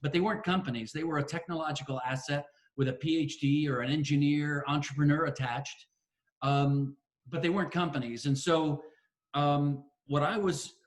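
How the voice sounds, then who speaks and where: An older man from Connecticut, a guest on a video-call interview, telling his story in a measured way from a spacious living room, with frequent restarts. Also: a little high, fairly even intonation. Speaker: guest, investor. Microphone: laptop webcam on video call.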